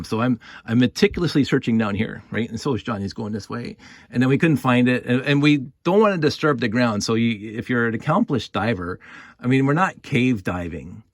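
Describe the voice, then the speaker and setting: A man's voice: medium pitch, dry recording, clean studio sound. A man telling a story casually and off the cuff, rambling and repeating his words as he goes. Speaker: storyteller and video host. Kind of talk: casual improvised storytelling with toy props.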